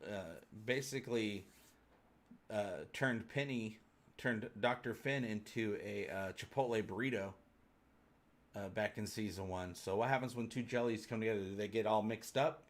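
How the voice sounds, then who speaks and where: A man's voice: lowish voice, moderate pace. A man hosting a fan livestream, chatting casually and answering viewers in a rambling, jokey, colloquial way. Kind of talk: casual chat, answering live viewer comments.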